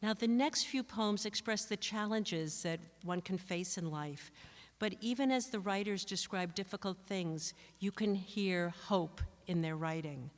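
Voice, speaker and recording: A woman hosting a literary event, introducing readings to an audience. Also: mid-range voice. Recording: reverberant hall recording.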